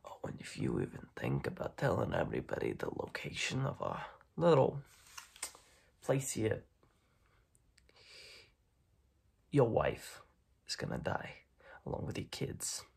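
A young man playing a smug, menacing mob boss in an ASMR roleplay, speaking in halting phrases with a breathy, raspy voice that often drops to a whisper. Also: low-pitched, wildly swooping pitch, voice pushed down, exaggerated voice. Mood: threatening. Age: young adult. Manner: slow, halting, repeats words, quietly intimidating. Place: dim room at home, indoors.

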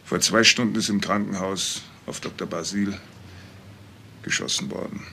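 An actor playing a blunt, no-nonsense police officer in a German crime radio drama. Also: male voice, low voice, fairly even intonation, moderate pace, vocal fry, bright, forceful tone, slight background noise, full character voice.

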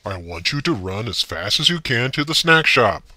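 A man voicing a stern, blunt small-town sheriff who umpires, barking his lines loudly. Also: mid-range voice, sing-song, moderate pace, dry recording, heavily acted. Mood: commanding. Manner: loud, barking.

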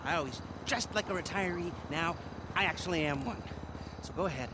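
An actor playing a grumpy, sarcastic middle-aged hacker who has retired from heists. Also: male voice, on the high side, background noise, natural voice. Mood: irritated. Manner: whiny, sarcastic, quick to complain.

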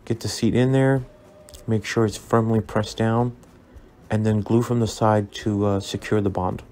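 Guy walking you thru a model build, lowish mellow voice, lots of ums, soft music behind. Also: flat delivery, unhurried. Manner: easygoing, frequent ums and uhs, recommending steps. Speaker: scale model building tutor. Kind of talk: relaxed instructional voice-over. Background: soft background music.

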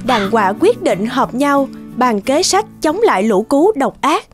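A woman with a high voice narrates a cartoon fairy tale. Her delivery is scripted storytelling, laid over prominent music. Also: very animated, unhurried. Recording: music behind the voice.

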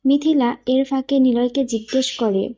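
A woman's voice: sits high, fairly even intonation, normal speed, dark tone, highs cut off. A woman narrator reading a scripted romance story.

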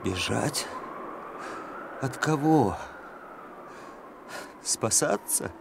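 An actor playing a weary, troubled young musician with polite, educated speech in a radio drama. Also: male voice, medium pitch, expressive, very slow, vocal fry, exaggerated voice. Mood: brooding, anguished. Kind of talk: Soviet radio drama acting. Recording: noisy vintage radio drama recording.

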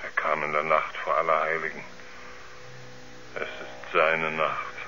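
An actor playing a tormented, doomed astronaut, speaking in halting, anguished pleas in a 1970s radio drama. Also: male voice, a bass voice, completely flat delivery, sharp tone, full character voice. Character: tormented doomed male astronaut confessing dark horror. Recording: muffled old radio play, faint noise.

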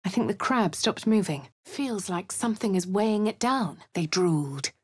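An actress voicing a posh young woman adventurer in a video game, with a husky, refined delivery. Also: medium pitch, lively intonation, normal speed, dry recording. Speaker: player character. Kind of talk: video game narration and dialogue. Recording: dry studio booth.

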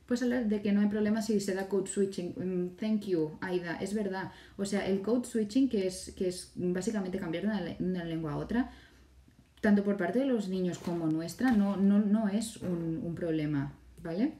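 A woman's voice: medium pitch, normal speed, mellow. A woman in her thirties from Catalonia, speaking with a Spanish accent from Catalonia, hosting a casual live stream from home and answering viewers' questions. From Catalonia, Spain. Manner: chatty, reads viewer questions aloud and answers them. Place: home room with bookshelves, indoors. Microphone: phone or webcam in front of her. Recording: echoey room, a little far from the mic.

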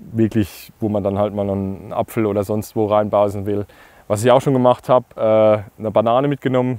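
A man in his thirties from Germany, a paragliding instructor giving relaxed, chatty tips straight to camera with lots of fillers, recorded outdoors on a lapel mic in a meadow. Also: medium pitch, no room sound. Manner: relaxed, chatty, frequent fillers, addresses viewers directly. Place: grassy meadow outdoors, hills behind.

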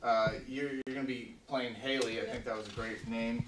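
A man's voice: mid-range voice, steady tone, moderate pace. A man leading a film table read as writer-director in an informal way, talking casually and reading script directions aloud. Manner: informal, profane. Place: meeting room with a conference table. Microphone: distant camera microphone. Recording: roomy, distant camera mic, background noise.

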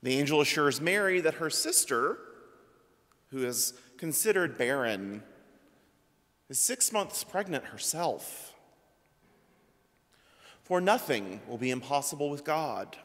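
Slow, measured man preaching in a church. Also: sing-song, a bit bright. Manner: slow, measured preaching with trailing drops in pitch. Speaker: priest. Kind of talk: sermon. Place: church sanctuary at a pulpit. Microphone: pulpit microphone. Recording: long church reverb.

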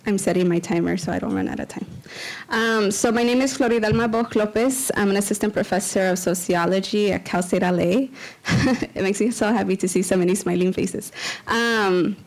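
A woman from Los Angeles speaks at a podium microphone in a lecture room. Her conference talk is loose and conversational, with easy "um"s and "like"s. Her voice sits at a middling pitch. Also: a little fast, rough recording. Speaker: sociology professor speaker.

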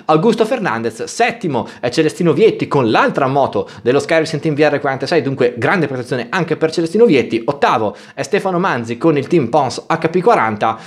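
A man in his thirties recapping motorcycle races in a chatty way, often pausing with filler sounds, recorded indoors on a headset mic. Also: on the high side. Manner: chatty, many filler "eh" pauses. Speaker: motorsport YouTuber. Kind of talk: race recap commentary. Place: small room indoors.

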